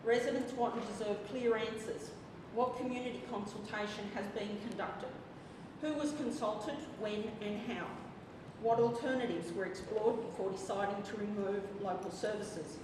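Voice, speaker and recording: A woman's voice: medium pitch, steady tone, moderate pace. A woman reading a formal, earnest prepared statement to a council, nervous as she speaks. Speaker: member of the public. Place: council chamber. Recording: echoey hall from far off, noisy.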